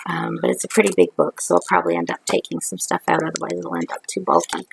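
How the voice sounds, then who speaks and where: A middle-aged woman from Canada, hoarse from a cold, talking into a webcam from her living room couch at night. Her voice is deeply gravelly and full of fry. She rambles in a chatty way, and a faint hiss sits under the recording. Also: little pitch movement, fast. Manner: rambling, chatty, many ums. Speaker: craft vlogger. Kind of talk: casual haul video. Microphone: webcam at close range.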